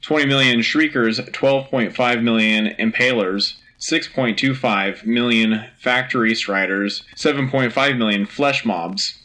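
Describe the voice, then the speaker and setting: Man playing a forceful wartime radio news anchor. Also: mid-range voice, low-quality recording. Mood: urgent, resolute. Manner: clipped, dramatic, solemn. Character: Joe Turner, earnest propaganda-era war news anchor. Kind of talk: scripted wartime news broadcast acting.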